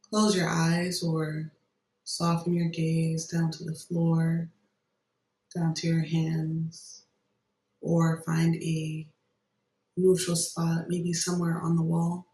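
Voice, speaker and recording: A meditation guide giving gentle, unhurried instructions with long pauses between phrases. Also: mid-range voice, little pitch movement. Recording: roomy echo, distant microphone.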